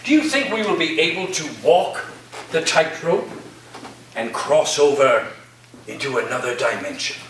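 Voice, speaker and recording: A man in a staged play reading, playing an eccentric, grandiose literature professor with deliberate, dramatic pauses. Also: a little high, a bit bright. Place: room, live staged reading, recorded from afar. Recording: distant roomy stage recording, noisy.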